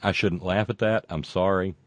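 A man hosting a show, reading viewer comments and delivering sarcastic political commentary that swings from loud rants to muttered asides, with frequent fillers and profanity. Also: low-pitched, fairly even intonation, moderate pace, no room sound. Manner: mocking, builds into loud rants then drops to muttering; profane, many fillers and restarts.

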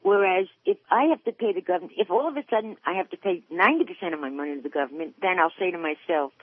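A woman's voice: lowish voice, racing, husky. A woman in her sixties calling in to a radio show, rambling and hesitant, with lots of ums. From New York State, USA. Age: 60s. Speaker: caller. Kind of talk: phone-in conversation. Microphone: telephone. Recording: tinny phone line.

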